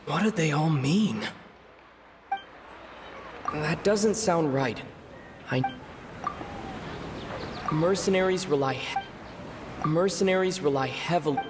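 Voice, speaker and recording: A man reading game text aloud haltingly, as a game streamer. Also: fairly high pitch. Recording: echoing hall, clear background noise.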